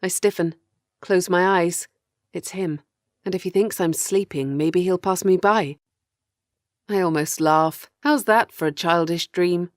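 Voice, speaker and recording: A woman voicing a captive thirty-year-old woman, weary and afraid, telling her horror story in measured, grim audiobook narration. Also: on the low side, no room sound, clean studio sound, close to an everyday voice. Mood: bleak, tense.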